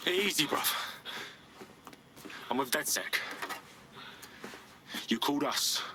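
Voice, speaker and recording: A man's voice: a little high, animated, slow. A man with a London accent playing a brash, wary young street hacktivist in a video game, slangy and blunt. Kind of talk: video game cutscene acting.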